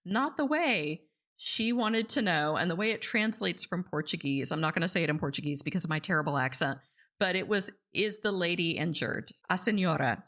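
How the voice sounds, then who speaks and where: Low-voiced woman host, wry and chatty. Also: animated, fairly quick, fairly bright tone. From Texas, US. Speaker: podcast host. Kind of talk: casual interview chat.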